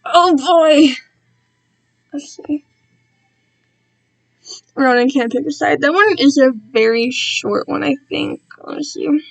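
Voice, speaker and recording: Wysoki, niespieszny głos kobiety, rozgadanej i autoironicznej. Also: female voice, high voice, takes it slowly, creaky, long pauses, dry recording, low-quality recording. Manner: rambling, self-deprecating, easily distracted. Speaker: streamer reading own fan-written work to viewers. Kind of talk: casual live-stream chat.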